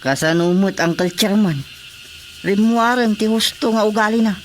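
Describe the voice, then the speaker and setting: A man's voice: sits high, moderate pace, slight background noise, lightly acted. A man acting an aggrieved, complaining farmer husband. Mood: exasperated. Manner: complaining, insistent. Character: aggrieved farming husband fed up with his wife. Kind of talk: radio drama acting.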